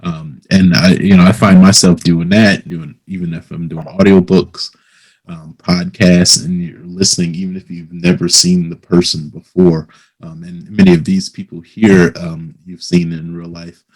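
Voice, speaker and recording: A man hosting a casual interview, speaking in a hesitant, halting conversational way. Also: low-pitched, flat delivery, darkish tone, husky, poor audio. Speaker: interviewer, host.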